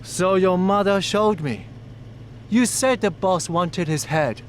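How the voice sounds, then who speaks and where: Slow, cocky gangster. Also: male voice, sits high, a little hiss or hum. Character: cocky, lewd male gangster. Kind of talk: video game cutscene acting.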